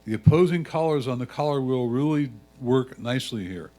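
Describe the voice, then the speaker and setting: A man's voice: mid-range voice, a little fast, dark tone. A man reading a judge's written comments aloud as a critique.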